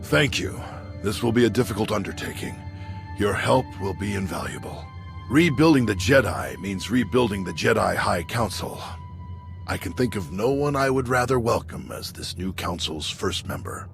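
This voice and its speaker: Low, gravelly, solemn Jedi master. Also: male voice, expressive. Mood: solemn, grateful. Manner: formal, measured wording. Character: earnest, solemn, courteous male Jedi elder. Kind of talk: video game cutscene acting. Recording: clear music under the voice.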